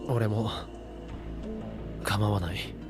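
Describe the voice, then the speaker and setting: An actor playing a guarded, subdued young man in an anime visual novel, speaking tersely in a husky, breathy voice. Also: fairly low pitch, fairly even intonation, slow, over music. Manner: terse, restrained.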